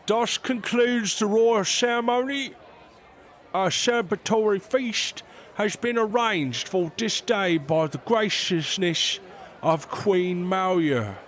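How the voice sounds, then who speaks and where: An actor playing a formal royal advisor who hesitates, stammers and clears his throat. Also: sits high, slow, a bit bright. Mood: ceremonial. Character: fussy older male royal advisor, formal. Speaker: royal counselor (maester). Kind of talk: audio drama acting.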